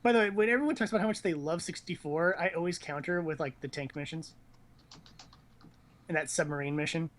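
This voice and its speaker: A man's voice: fairly high pitch, a little fast, fairly bright tone. A man hosting a casual gaming chat, rambling and thinking aloud with blunt opinions. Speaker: host of a gaming news show.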